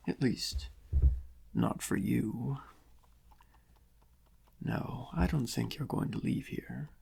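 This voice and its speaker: A man acts out a smug criminal mastermind in an intimate ASMR roleplay, soft-spoken and very breathy. His delivery is slow and heavily fried with creak, lingering pompously over florid, mock-polite phrases. He sounds theatrical and supremely sure of himself. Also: mid-range voice, exaggerated voice. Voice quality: breathy, husky, often near whispering. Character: gloating, theatrical criminal mastermind villain, supremely confident yet cautious.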